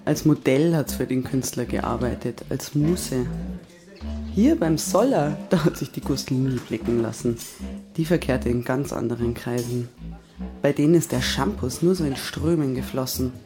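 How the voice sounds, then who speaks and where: A woman's voice: a bass voice, normal speed. An actress playing a gossipy, coarse working-class Munich woman with a Bavarian accent, chatting in a radio play. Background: music, guitar.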